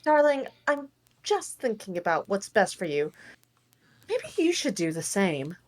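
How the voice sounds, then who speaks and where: A woman playing a fussy, concerned, gently scolding parent in a comic table read. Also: mid-range voice, sing-song, a little slow. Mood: reproachful, coaxing. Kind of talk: comic table read of an animated film script.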